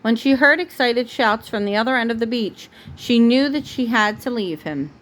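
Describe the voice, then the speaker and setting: A woman with a fairly high voice reads a bedtime storybook aloud in the manner of a mother with her child. The home recording has noticeable background noise. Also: lively intonation, moderate pace, a bit bright, rough recording. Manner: breaks off to answer the child patiently.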